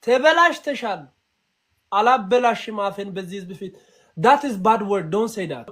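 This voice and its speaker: A man's voice: high-pitched, lively intonation, fast talker, a bit bright. Playful Ethiopian-accented man joking on a live stream. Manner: playful, teasing, switches between broken English and Amharic.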